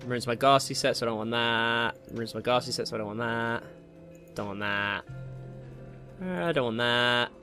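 A man's voice: medium pitch, moderate pace, sharp tone. A man hosting a gaming video with casual Let's Play commentary, talking to himself in jokey, slangy asides. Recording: game music behind the voice. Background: video game music.

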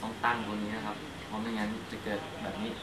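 A man's voice: lowish voice, completely flat delivery, rapid-fire. A man in his thirties hosting a custom bike build, joking and bossing his partner around, then explaining each step plainly in a garage workshop. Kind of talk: casual workshop banter and build commentary. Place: indoor garage paint workshop. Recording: echoey garage room with background noise.

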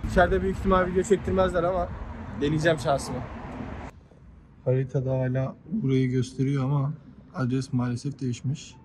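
A man's voice: medium pitch, fairly even intonation, a little fast. A man vlogging casually while walking through a city, chatty and teasing. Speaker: travel vlogger. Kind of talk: casual vlog narration. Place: outdoors in a city, streets and buildings. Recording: noisy city street sound.

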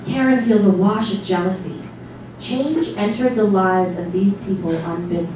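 A woman's voice: mid-range voice, moderate pace. Woman narrating in a very echoey hall. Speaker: narrator, stage actor. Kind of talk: scripted dramatic narration. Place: small stage before a seated audience. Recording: distant mic, very echoey hall, noisy.

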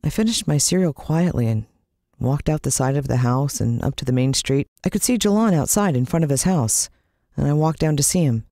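Animated American woman narrating a story. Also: deep voice, fairly quick, no echo, studio quality. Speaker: audiobook narrator. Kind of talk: expressive children's story narration, in the first person.

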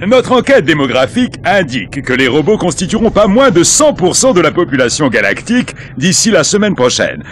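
A man's voice: fairly high pitch, very animated, a little fast, hardly any pauses, slight background noise. A man voicing a smug, spinning male half-robot president in a dubbed video game. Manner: politician's smug spin.

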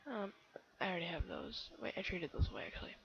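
Teen girl gamer, low husky voice, rambling commentary, muffled computer mic. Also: sing-song, quick pace. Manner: rambling, giggly, self-chattering with many fillers. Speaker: game video creator. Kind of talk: casual gameplay commentary.

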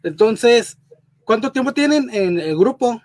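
A man's voice: very high voice, expressive, a little slow, darkish tone, no room sound. A man hosting a video-call interview, asking brief, friendly questions. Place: home room indoors.

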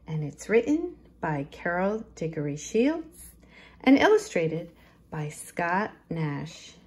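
Slow, animated woman reading a picture book. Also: fairly low pitch. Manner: expressive, deliberate, emphasising rhymes and rhythm. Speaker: children's librarian reading a storytime. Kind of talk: picture-book read-aloud for children.

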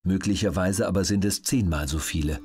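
A man reading scripted educational narration in a measured, matter-of-fact manner. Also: low-pitched, over music. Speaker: audiobook narrator.

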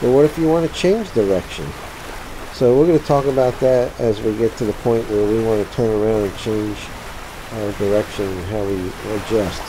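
A man with a lowish voice on a video game tutorial, feeling his way through the steps aloud while boat and water sounds wash behind him. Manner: halting, thinking aloud. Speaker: tutorial presenter. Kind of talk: unscripted how-to commentary over a sailing game. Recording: steady sea and water noise behind. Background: sailing game sounds of boat and water.

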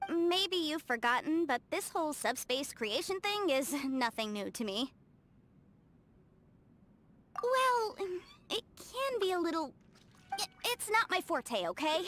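High, animated, chatty young game heroine. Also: female voice. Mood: flustered, proud. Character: bubbly, talkative young female legal adviser, proud and eager. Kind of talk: English video game character voice lines. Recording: game music under the voice.

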